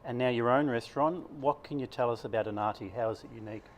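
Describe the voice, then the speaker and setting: A man with a New Zealand accent interviewing a chef, with brisk questions and encouraging interjections. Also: mid-range voice.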